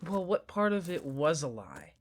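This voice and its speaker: Woman as a flippant, low-voiced, stubborn young friend. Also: sing-song, normal speed. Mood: annoyed, stubborn. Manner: dry, flippant, skeptical. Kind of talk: naturalistic audio drama acting.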